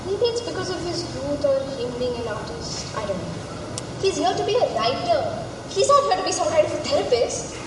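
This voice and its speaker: Actress as tense, echoey, high-voiced woman onstage. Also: big pitch swings, fairly quick. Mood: frustrated. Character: Anne, tense, weary academic woman in a troubled relationship. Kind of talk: stage play dialogue. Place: stage in a reverberant hall. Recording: echoey hall, distant mic, noisy.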